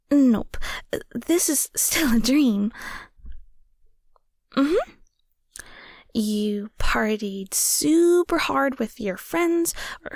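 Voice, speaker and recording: Winged sprite played by a woman, audio roleplay: easygoing, quippy, halting, slow-paced delivery, close dry sound. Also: fairly high pitch, darkish tone, dry recording. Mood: flustered, bluffing. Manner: casual, rambling, halting, quippy. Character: playful, easygoing, flustered female mistletoe sprite with wings. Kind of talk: intimate audio roleplay acting.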